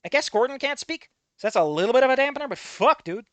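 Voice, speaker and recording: A man's voice: extremely high pitch, expressive, quick pace, fairly bright tone, no room sound. A man reading fan fiction aloud, breaking off to swear and comment on it.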